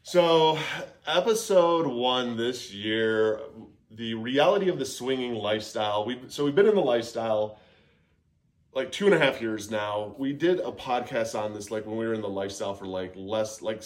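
A young adult man co-hosting a casual podcast, relaxed and agreeable, often chiming in with short replies. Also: fairly low pitch, normal speed. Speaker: podcast co-host. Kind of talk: casual chat.

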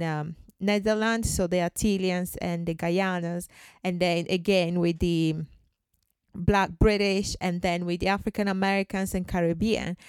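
A woman's voice: medium pitch, darkish tone, clear, resonant tone, no room sound. A woman from Ghana, a guest in a podcast conversation, talking earnestly and leaning on "like" and "so" as fillers. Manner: earnest and chatty, leans on "like" and "so".

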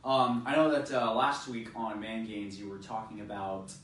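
A man's voice: lowish voice, moderate pace. Podcast host man, echoey distant sound. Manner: relaxed, agreeable, hesitant with fillers. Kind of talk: casual podcast chat. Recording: echoey room, distant mic, faint noise.

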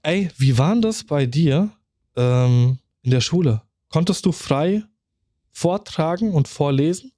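A man's voice: fairly high pitch, animated, unhurried, dark tone, no room sound, clean studio sound. A man in his forties co-hosting a podcast, joking in loose slangy chat and often stumbling over his words. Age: 40s. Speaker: podcast co-host. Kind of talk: casual chat.

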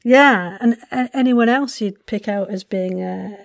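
A woman's voice: mid-range voice, unhurried, creaky, no echo, clean studio sound. A woman hosting a casual interview, speaking in an effusive, encouraging way. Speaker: interview host and audio drama voice actor.

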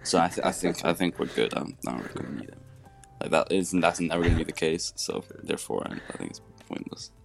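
A teenage boy with a very low, gravelly voice rambles through his answers and slips into sarcastic mimicry. Faint music sits behind him. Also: animated, fairly quick. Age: teens. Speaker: student guest.

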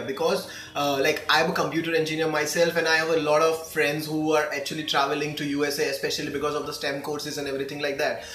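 A man's voice: on the high side, fairly bright tone. A man hosting a casual podcast chat, enthusiastic and rambling with many fillers. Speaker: podcast host. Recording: echoey room with music behind.

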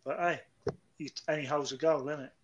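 A man's voice: a little high, steady tone, fast talker, muffled recording, slight background noise. A British man co-hosting a podcast in casual comic banter, joking in a crude, irreverent way. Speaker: podcast co-host.

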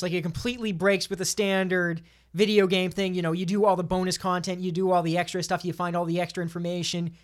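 A woman on a podcast panel, talking casually and giving her opinions at length. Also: on the low side.

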